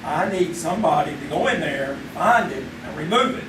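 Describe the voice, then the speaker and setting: A man's voice: on the high side, lively intonation, brisk. A man playing a small-town sheriff in a live old-time radio drama, friendly and businesslike as he hands out a job. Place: small theater stage, live. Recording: echoey stage from far off, noisy.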